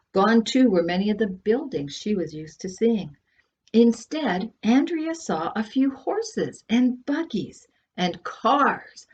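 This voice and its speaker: A Canadian woman reads children's fiction aloud in an expressive storytelling style, leaning dramatically on key words. Her medium-pitched voice has a husky edge. The recording is dull and lo-fi. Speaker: children's author reading from own book.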